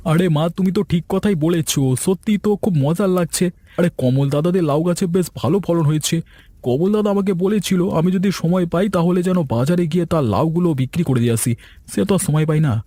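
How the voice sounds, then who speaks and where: A man's voice: on the high side, quick pace, warm tone, dry recording, close to an everyday voice. A man voicing a grown village son in a cartoon, chatty, teasing and full of questions. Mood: pleased. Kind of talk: Bengali cartoon story dialogue.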